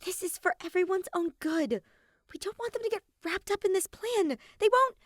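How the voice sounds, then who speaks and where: A woman's voice: extremely high pitch, normal speed, dry recording, clean studio sound, pitched above the performer's own voice. A woman voicing a determined, anxious teenage girl plotter in a story reading, with an earnest, emphatic delivery. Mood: firm, urgent.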